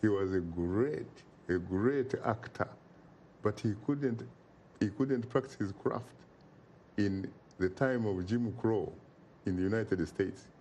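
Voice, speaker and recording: A man speaks as an interview guest in a warm, mellow voice. He is emphatic and oratorical and drives his points home through repetition. Faint noise sits under the recording. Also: fairly low pitch, takes it slowly. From Uganda. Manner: emphatic and oratorical, repeats phrases for effect. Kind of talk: impassioned interview talk.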